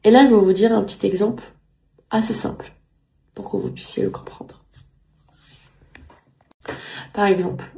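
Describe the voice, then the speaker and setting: A woman's voice: mid-range voice, normal speed, gravelly, long pauses, mellow. A woman in her thirties, a native Spanish speaker, talking casually to camera at home and repeating words as she looks for the right phrasing. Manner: earnest, repeats words while searching for phrasing. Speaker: vlogger.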